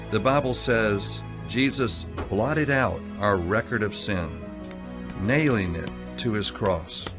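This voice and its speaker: Slow, earnest male gospel narrator. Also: medium pitch. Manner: slow, earnest, measured delivery. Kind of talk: scripted evangelistic narration. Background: background music.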